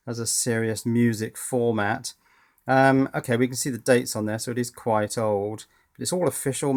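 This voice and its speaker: A man's voice: medium pitch, gravelly. A man giving unscripted teardown commentary as a hobbyist presenter, thinking aloud with dry, understated humour.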